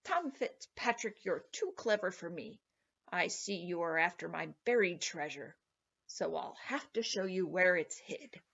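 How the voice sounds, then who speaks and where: A sly little old leprechaun from a storybook, acted out by a woman reader. He talks in a sharp, wheedling way, cross and crafty. The recording is slightly dull. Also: lowish voice, expressive, unhurried, gravelly, heavily acted. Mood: conceding. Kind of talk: storybook character voice.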